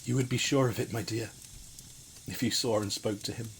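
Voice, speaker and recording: A man's voice: lowish voice, fairly even intonation, brisk, creaky, slight background noise. An actor playing a kindly, grieving Victorian father in an audio drama, speaking tenderly and earnestly. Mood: tender grief.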